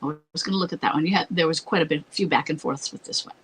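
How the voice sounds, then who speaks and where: A woman hosting an advice talk, congested and scratchy as her voice gives out, explaining in a halting way. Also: deep voice, a bit bright. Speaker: advice show host. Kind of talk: advice talk with read-out letters.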